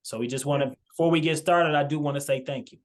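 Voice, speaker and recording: Man, podcast host, fairly high voice, earnest, halting with fillers, video call audio. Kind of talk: casual video-call chat.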